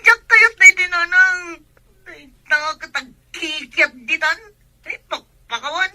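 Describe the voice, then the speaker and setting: Radio drama role: whiny, resentful young Ilocano Filipino wife, piercingly high and bright. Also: female voice, a little slow, heavily acted. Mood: distressed, complaining. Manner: whining, complaining.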